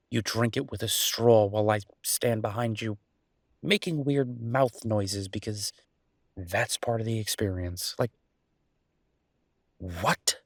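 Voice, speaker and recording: A man playing an earnest, down-to-earth chef boyfriend in an audio roleplay, talking casually and a little hesitantly in a husky voice. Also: fairly low pitch, dry recording, studio quality. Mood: wry, opinionated. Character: enthusiastic down-to-earth chef boyfriend opening a mountain restaurant. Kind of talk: audio roleplay acting, casual one-sided conversation.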